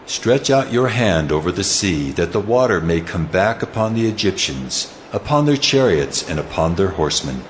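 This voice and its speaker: Deep, reverberant, commanding God. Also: male voice, very animated, a little hiss or hum. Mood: stern command. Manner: grand, authoritative, deliberate. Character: God, a commanding, solemn divine voice. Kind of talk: audio drama scripture acting. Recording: long reverb over music.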